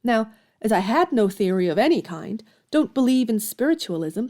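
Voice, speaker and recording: Actress as headstrong young woman writer, very lively intonation, soft-edged tone, outspoken, emphatic, period audio drama. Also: on the high side, normal speed. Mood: indignant.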